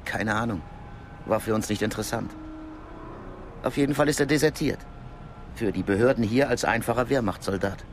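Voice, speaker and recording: Casting: male actor as calm, knowing secret-service contact, lowish voice, measured radio drama delivery over ambient noise. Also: close to an everyday voice. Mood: guarded, matter-of-fact. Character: discreet male Cold War intelligence contact, calm and knowing. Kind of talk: naturalistic German radio drama acting.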